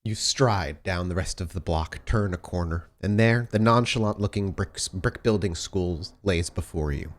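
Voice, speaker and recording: A man narrating a tabletop role-playing game as storyteller, descriptive and deliberate, feeling his way through the scene. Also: low voice. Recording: music behind the voice. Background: music bed.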